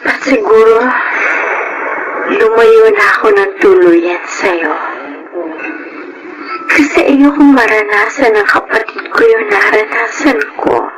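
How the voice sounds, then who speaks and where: A woman's voice: fairly high pitch, lively intonation, noisy background. A woman with a Filipino accent playing a sorrowful married woman resisting forbidden love in a melodramatic audio drama. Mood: tearful, pleading. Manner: plaintive, measured. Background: loud background music.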